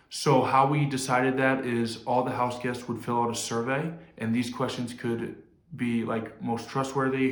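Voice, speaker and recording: A young man hosting the show, casually explaining a twist and reading a secret message with many fillers. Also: medium pitch. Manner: laid-back, filler-heavy, jokey. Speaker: host. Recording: echoey room, slightly distant, faint noise.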